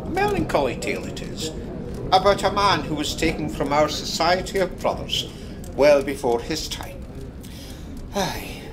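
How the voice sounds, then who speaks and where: A man's voice: on the high side, very animated, normal speed, background noise. A man with a Scottish accent playing a convivial, folksy narrator telling tales by the fireside in a radio play. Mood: hospitable, storytelling. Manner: leisurely, hospitable, folksy. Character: convivial Scottish fireside storyteller, fond of a dram. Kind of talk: storytelling in an audio drama.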